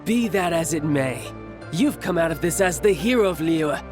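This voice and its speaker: A man's voice: a little high, lively intonation, moderate pace, natural voice. Man voicing a cocky, combative young villain. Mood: sullen. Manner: indignant, combative, theatrical. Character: cocky, hot-tempered youngish male warrior villain, wounded pride. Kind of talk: video game voice acting. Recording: prominent background music.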